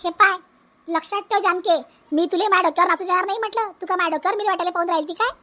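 A woman's voice: very high-pitched, steady tone, rapid-fire, bright, forceful tone. A woman playing a domineering, spiteful elderly mother-in-law in a village comedy cartoon, scolding in a Vidarbha Marathi accent. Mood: scornful. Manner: scolding, commanding, repetitive.

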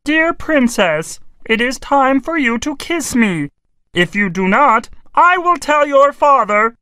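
A woman voicing a demanding male frog in a storybook, drawn-out and coaxing. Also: high voice, sing-song, sharp tone, no echo, full character voice.

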